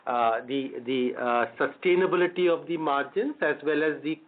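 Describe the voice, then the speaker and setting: Give the voice of a male company executive on an earnings call. His pitch sits a little high for a man, and he speaks haltingly with repeated words. The audio is narrow, like a phone line. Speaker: company executive answering analysts. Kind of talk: earnings call question and answer. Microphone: phone or conference line.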